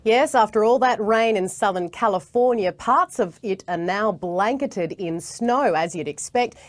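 A woman's voice: mid-range voice, measured pace. An Australian woman in her thirties delivering a television news report as a correspondent. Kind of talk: live news report and scripted narration. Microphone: lapel mic.

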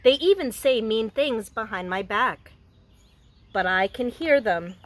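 Gentle preschool teacher reading aloud. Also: female voice, on the high side, unhurried. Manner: gentle, expressive storytelling voice for small children. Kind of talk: reading a picture book aloud to children. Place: outdoors in front of a house.

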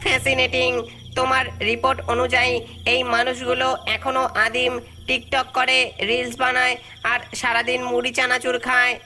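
Flat-toned stern alien commander voiced by a woman. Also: high-pitched, moderate pace, faint music behind. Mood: cool, appraising. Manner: formal, commanding, clipped. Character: stern alien commander planning to conquer Earth. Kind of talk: animated cartoon voice acting.

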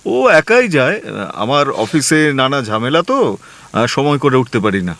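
A man's voice: medium pitch, very animated, moderate pace, fairly bright tone, dry recording. A man playing an anxious, gullible newly married husband in a comic audio drama, hesitant and easily flustered. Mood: flustered disbelief.

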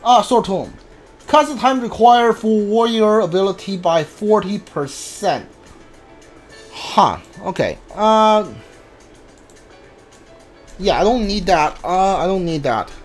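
A game streamer giving casual commentary, thinking aloud haltingly and muttering through lists over game music. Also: mid-range voice, expressive. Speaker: streamer playing a video game.

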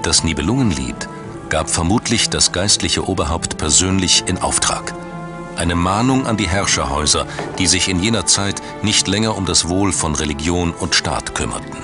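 Deep-voiced man narrating a documentary. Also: animated. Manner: measured, dramatic storytelling. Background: background music.

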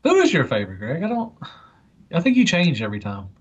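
A man's voice: on the high side, huge pitch swings, quick pace, husky, dull audio. A man chatting casually as a podcast panelist, with a rambling, colloquial way of talking. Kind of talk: casual chat.

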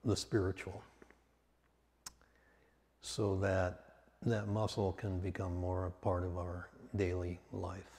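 Slow, low-voiced man leading meditation. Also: vocal fry. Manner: calm, measured, with long pauses between short phrases. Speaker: meditation teacher. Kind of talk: guided meditation, spoken slowly and unscripted. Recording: long reverberant hall sound.

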